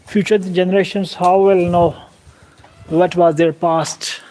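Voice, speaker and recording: A man narrating a casual travel vlog outdoors in a small village, speaking halting, plain English with pauses. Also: high-pitched, little pitch movement, a little mellow, rough recording. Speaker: travel vlogger. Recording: faint outdoor noise.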